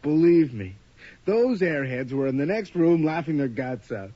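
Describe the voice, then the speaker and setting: A man's voice: on the high side, sing-song, normal speed. A young actor playing a cocky, teasing male college roommate in a radio drama. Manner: joking, teasing, brash. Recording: dull old radio broadcast.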